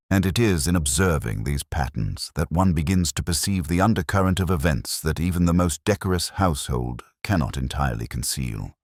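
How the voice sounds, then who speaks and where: A man playing a reserved, observant English police detective, narrating in the first person in a measured, formal, literary way. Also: low voice, dry recording, studio quality.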